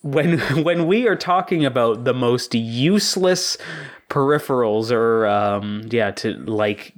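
A man's voice: medium pitch, expressive, takes it slowly. Joking man rambling on a podcast. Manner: joking, laughs often, rambling. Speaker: podcast co-host. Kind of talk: casual chat.

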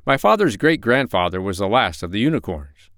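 A man's voice: lowish voice, very animated, brisk, fairly bright tone, dry recording, studio quality. A man playing a shy, polite two-headed gazelle-like creature in a storybook, with a reserved manner. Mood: courteous.

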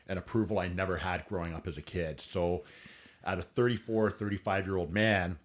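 Very deep-voiced blunt man. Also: fairly even intonation, fairly quick. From Ontario, Canada. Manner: blunt, swears freely, stumbles and restarts. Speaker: guest, bodybuilder and trainer. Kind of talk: candid podcast interview.

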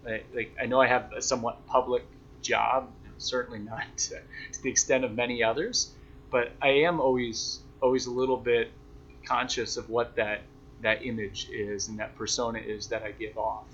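A man's voice: medium pitch, level tone, moderate pace, a bit bright. A young man answering interview questions in a reflective, self-deprecating, conversational way, as a sports broadcaster. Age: young adult. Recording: dull lo-fi sound, noisy background.

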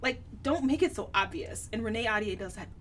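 A woman's voice: mid-range voice, animated, a little fast. A woman co-hosting a book podcast, talking casually in a candid, opinionated, colloquial way. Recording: distant mic with background noise.

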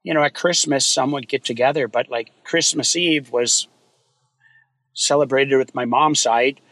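A man from Minnesota, a guest in a podcast interview, talking in a relaxed, rambling way with many fillers. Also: mid-range voice, a little slow, no room sound, low-quality recording. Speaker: guest, wildfire fighter. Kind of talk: casual interview chat.

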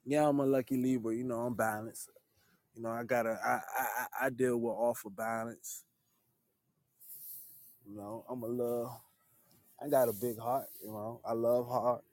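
Mellow-voiced laid-back man in his thirties. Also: mid-range voice, little pitch movement, normal speed, long pauses, dry recording. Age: 30s. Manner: laid-back, repeats "man" at line ends. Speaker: livestream host. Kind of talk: casual livestream chat with viewers.

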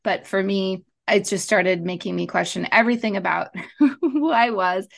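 Woman, 30s, from Montreal, mid pitch, animated guest who laughs easily. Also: vocal fry. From Montreal, Canada. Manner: animated, laughs often, quick asides. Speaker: podcast guest, wellness coach. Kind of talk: casual interview storytelling.

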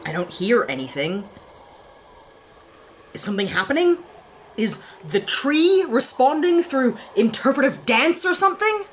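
An actress playing a sarcastic young heroine in an audio drama. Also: female voice, on the high side, big pitch swings, measured pace, pauses often, a little hiss or hum. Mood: exasperated. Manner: sarcastic, exasperated. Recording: studio drama with music bed.

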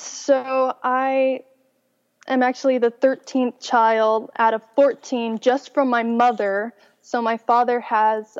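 A young woman from Mexico, a guest sharing her life story in a friendly conversation and laughing easily. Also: a little high. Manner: cheerful, laughs often. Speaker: interview guest. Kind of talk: personal testimony in conversation. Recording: dull audio with long reverb.

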